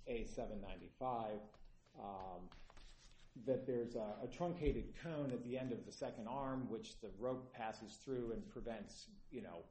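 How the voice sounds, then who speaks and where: A man arguing an appeal as attorney in court, earnest and halting with many fillers, sounding tired. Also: on the low side, warm tone, slight background noise. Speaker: appellant's attorney. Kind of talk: courtroom oral argument. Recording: echoey courtroom.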